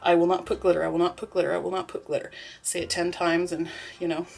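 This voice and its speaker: A woman's voice: lowish voice, level tone, quick pace, vocal fry, darkish tone, faint music behind. A young woman making soap and chatting through it, with a stuffy, sniffly nose, laughing often and rambling with lots of fillers. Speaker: soap maker. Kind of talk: casual vlog narration while working.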